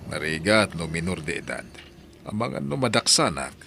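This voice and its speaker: Man playing a very low, gravelly boss. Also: big pitch swings, normal speed, noisy background, exaggerated voice. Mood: businesslike. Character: well-off male household employer, calm and bargaining. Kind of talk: audio drama acting.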